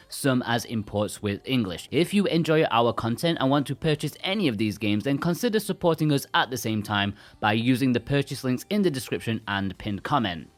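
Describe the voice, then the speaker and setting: A man co-hosting a video game review, reading a script in an informal, chatty manner. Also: mid-range voice. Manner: informal, chatty, uses slang. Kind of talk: scripted review narration with casual asides. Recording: music behind the voice. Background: background music.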